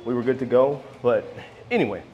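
A man's voice: medium pitch, a little fast, dull audio, soft background music. An American man in his thirties giving a casual walking-tour commentary as a travel vlogger, recorded on a handheld camera. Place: walking around a cruise ship, decks and indoor areas. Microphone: handheld camera at arm's length.

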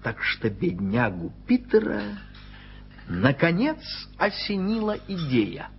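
Slow, lively narrating man. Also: a little high, slight background noise. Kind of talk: scripted narration in a radio play. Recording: dull vintage radio recording with music.

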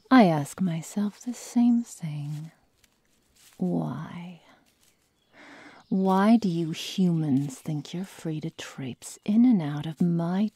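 A woman voicing a sadistic, predatory young werewolf girl in an ASMR roleplay, whispering and murmuring with slow, taunting menace. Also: fairly low pitch, dark tone, no room sound, full character voice. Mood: contemptuous, menacing. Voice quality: breathy, husky whisper with gravelly murmurs.

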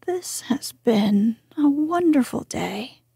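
A woman's voice: sits high, lively intonation, slow pace, gravelly, warm tone, breathy edge, dry recording, studio quality, pitched above the performer's own voice, heavily acted. A shy wondering little mole, whispered by a woman. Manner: whispered, timid. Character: shy, wide-eyed young male mole.

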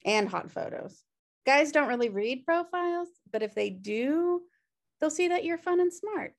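A woman's voice: high voice, animated, a little slow, creaky, exaggerated voice. A woman playing a sardonic, pushy slacker sister in her thirties, dry and teasing, at a comedy table read on a video call. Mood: breezy, pushy. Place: home room on a video call.

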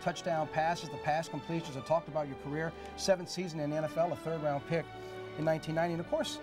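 A man's voice: a little high, fairly even intonation, music in the background. A man in his forties interviewing a former player on a TV studio set, asking long, enthusiastic questions on a lapel mic. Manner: brisk, enthusiastic storytelling questions. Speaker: sports TV interviewer. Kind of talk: TV sports interview.

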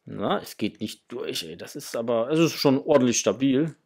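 A middle-aged man with a husky voice, chatting casually and enthusiastically to viewers as he hosts an unboxing, sometimes muttering to himself. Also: medium pitch, expressive, normal speed, a little mellow. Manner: enthusiastic, exclamatory, muttering to himself while handling things. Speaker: host of a toy unboxing channel. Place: small indoor hobby room.

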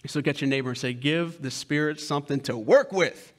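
A man in his thirties preaching a sermon on a church stage through a headset mic, with loud, emphatic lines and hushed asides. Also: a little high, brisk. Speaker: pastor.

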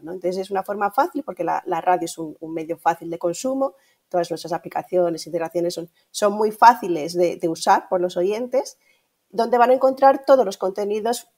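A woman's voice: medium pitch, darkish tone. A middle-aged woman with a Castilian Spanish accent explaining things as an interview guest, often ending phrases with tag questions. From Spain. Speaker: interview guest, radio streaming executive. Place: indoor room, video call. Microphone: video call.

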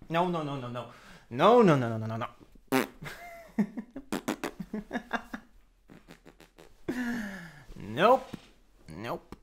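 Slow, playful Italian-accented boyfriend acted by a man. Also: fairly high pitch, fairly bright tone, slight background noise, full character voice. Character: playful, teasing, excitable young boyfriend watching anime. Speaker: ASMR roleplay performer. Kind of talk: improvised ASMR boyfriend roleplay.

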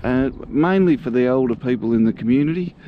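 A man giving a relaxed, casual walking tour outdoors, thinking aloud as he goes. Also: mid-range voice, brisk, muffled recording, slight background noise. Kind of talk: casual walk-and-talk narration. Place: outdoors walking through a small country town.

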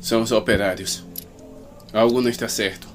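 A man's voice: lowish voice, steady tone, a little fast, music in the background, lightly acted. An actor playing a tense, protective father in a fan-dubbed video game, speaking in an urgent, commanding manner. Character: protective, tense father fleeing an outbreak with his daughter. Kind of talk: fan-dub game acting in Portuguese.

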